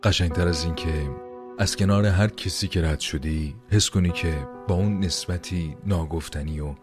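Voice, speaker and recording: A man with a very low voice recites a poetic voice-over at a racing pace. His delivery is rapid and impassioned. Music plays clearly behind him throughout. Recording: heavy music bed behind voice.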